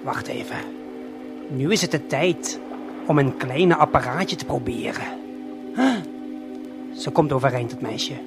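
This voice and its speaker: A Dutch man playing a conspiratorial lookout in an audio play, confiding eyewitness observations to a companion in a halting, curious way. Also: medium pitch, lively intonation, gravelly, over music. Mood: curious, puzzled. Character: excited, conspiratorial male lookout spying through binoculars.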